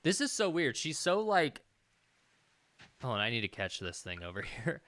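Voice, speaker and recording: A man's voice: medium pitch, wildly swooping pitch, normal speed, pushed and bright, dry recording. A man in his thirties giving casual, rambling game commentary, thinking aloud as he plays. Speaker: gaming video host.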